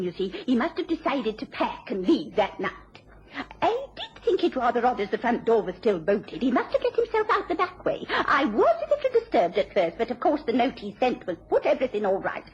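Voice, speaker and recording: High, swooping, prim English landlady. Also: huge pitch swings, moderate pace. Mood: flustered. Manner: haughty, fussy, fluting. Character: prim, genteel, disapproving landlady, fussy and indignant. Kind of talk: 1950s-60s British radio drama acting. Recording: dull, hissy old radio recording.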